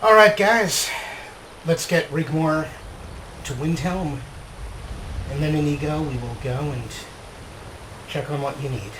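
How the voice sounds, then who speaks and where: A man's voice: a little high, slow, pauses often, background noise. A man narrating a video game series as its host, partly reading in character. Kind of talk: scripted in-character journal narration and show commentary.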